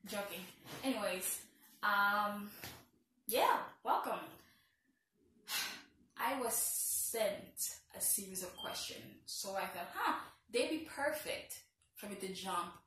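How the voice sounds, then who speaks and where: A woman's voice: medium pitch, sharp tone, slight background noise. Playful Haitian woman in an echoey room. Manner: playful, teasing, self-mocking asides. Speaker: vlogger. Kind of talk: casual get-to-know-me vlog. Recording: very echoey room, a bit far from the mic.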